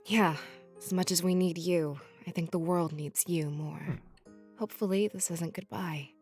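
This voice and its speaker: A woman voicing a tender, earnest furry companion in a visual novel, soft and sincere. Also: lowish voice, fairly even intonation, unhurried, soft background music. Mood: wistful.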